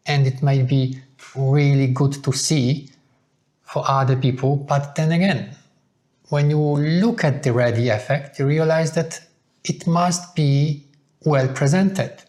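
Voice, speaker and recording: A man speaks at a middling pitch. He addresses viewers as a co-host in a relaxed chat, laying out his thoughts in a measured, earnest manner. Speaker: co-host of a couple's video channel.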